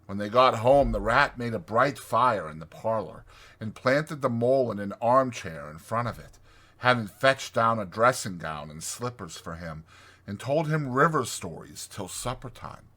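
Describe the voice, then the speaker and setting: A man reading a classic storybook as an audiobook narrator, in an expressive scripted style. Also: mid-range voice, moderate pace.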